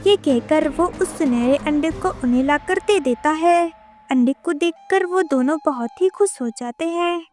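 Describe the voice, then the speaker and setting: A woman's voice: high-pitched, normal speed, darkish tone. A woman reading scripted story narration for a children's tale, with music behind her.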